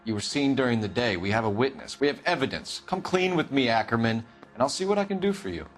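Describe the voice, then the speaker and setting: A man's voice: medium pitch, animated, quick pace, soft background music, lightly acted. Man playing an earnest, firm American police detective. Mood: firm, accusing. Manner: firm, clipped, formal questioning. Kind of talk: video game cutscene acting, police interrogation.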